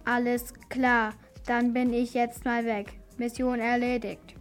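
A female performer playing a stern, matter-of-fact household robot in a children's radio play, instructing in a deliberate way. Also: fairly high pitch, little pitch movement, soft background music. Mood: encouraging.

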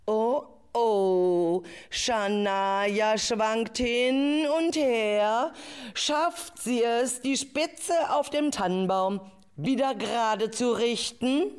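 A woman narrating a children's audio play as an expressive storyteller, with exclamations and questions put to the listener. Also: mid-range voice. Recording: loud music behind the voice. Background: music.

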